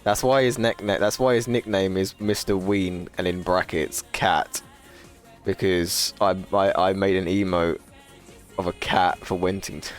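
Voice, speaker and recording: A man's voice: fairly even intonation, a little slow, faint music behind. A man in his twenties, chatting casually and profanely to a live stream audience, rambling and laughing often. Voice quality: low voice. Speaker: livestreamer.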